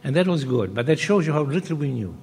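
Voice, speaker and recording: An older man, born and raised in Poland, giving a measured academic talk on a panel, pausing often and restarting phrases. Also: fairly high pitch, very animated, a little mellow, husky, soft background music. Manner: measured, with frequent pauses and restarts. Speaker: panel speaker. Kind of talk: academic lecture. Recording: reverberant hall.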